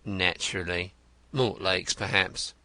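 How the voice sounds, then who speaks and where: A shrewd, wry detective in a storybook reading, voiced by a male narrator. He asks his questions slowly, with dry, teasing humor and a bright tone. The recording is close and dry. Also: low-pitched, fairly even intonation, dry recording, heavily acted.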